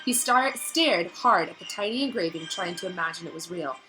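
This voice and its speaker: A woman narrating a novel aloud in an expressive, dramatic storytelling style that builds suspense. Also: lowish voice, fairly quick, over music, poor audio.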